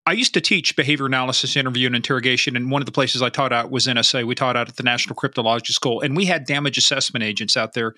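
A male podcast host who asks questions in a chatty, blunt way and swears without a second thought. His voice has a hard, bright edge, and it is recorded close and dry with no room sound. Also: medium pitch, fairly even intonation, racing, hardly any pauses, no echo. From grew up partly in Iran. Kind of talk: casual podcast interview.

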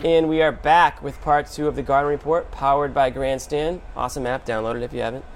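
A man hosting a sports show, recapping basketball in a casual, animated storytelling way with emphatic short phrases. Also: mid-range voice, a little fast, noisy background.

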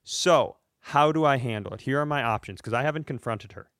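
A man's voice: medium pitch, a little fast, fairly bright tone, no room sound, studio quality. Mock-serious man ranting on a comedy podcast. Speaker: podcast co-host. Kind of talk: casual comedic banter, storytelling.

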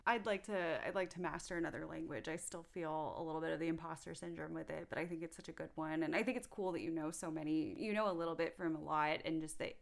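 A young woman hosts a podcast and asks friendly, curious questions in an easy, casual way. Her voice sits a touch low for a woman. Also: vocal fry. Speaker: podcast host. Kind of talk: casual interview.

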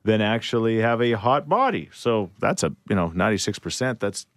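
Radio host, male, low voice, animated delivery, jokey and quick to laugh. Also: dry recording, clean studio sound. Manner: jokey, laughs often, takes callers. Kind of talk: morning radio banter.

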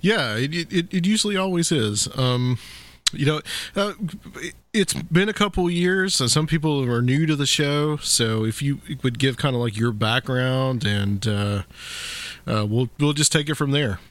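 A man hosting a casual interview podcast, talking haltingly with many fillers and restarts. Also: medium pitch, a bit bright, no echo. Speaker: podcast host.

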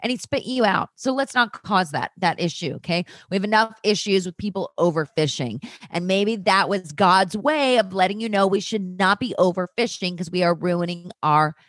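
A woman's voice: medium pitch, lively intonation, normal speed, hardly any pauses, a bit bright, no room sound. A young woman guest on a podcast, chatting in a playful, rambling way and giggling often. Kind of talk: casual podcast chat.

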